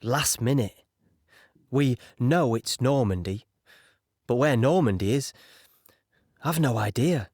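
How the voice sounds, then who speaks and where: An actor playing an earnest, naive young British soldier, plain-spoken and a little hesitant, in an audio drama monologue. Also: male voice, medium pitch, expressive, takes it slowly, long pauses, darkish tone, dry recording, studio quality. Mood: candid, unknowing. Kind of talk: dramatic monologue recounting wartime memories.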